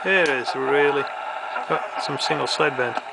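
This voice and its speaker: A man's voice: mid-range voice, moderate pace, creaky. A man giving a casual, laid-back hands-on demonstration of radio equipment, with understated commentary. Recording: very noisy, radio static behind voice. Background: CB radio hiss and static.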